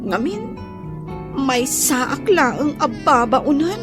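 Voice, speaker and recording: Radio drama casting: humble young maid in love, high voice, slow hesitant plaintive lines, music underneath. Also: female voice, very animated, heavily acted. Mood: tender, doubting. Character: Angie, young housemaid in love, humble and doubtful. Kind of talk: Ilocano radio drama acting.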